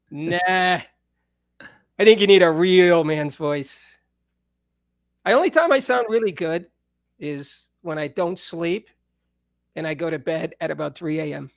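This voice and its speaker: A man's voice: high voice, dry recording. A middle-aged man hosting a casual live-stream chat from his home studio, talking in a laid-back, rambling way with frequent laughs. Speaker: host. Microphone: studio mic on a stand.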